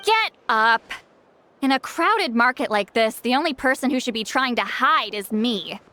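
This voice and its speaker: A woman's voice: on the high side, lively intonation, normal speed, sharp tone. An actress playing a blunt, aloof shaman woman in a video game, curt and dry in manner. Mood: irritated. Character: ancient but youthful-sounding shaman woman, blunt, aloof, prickly. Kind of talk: English video game voice acting. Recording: faint background music under the voice.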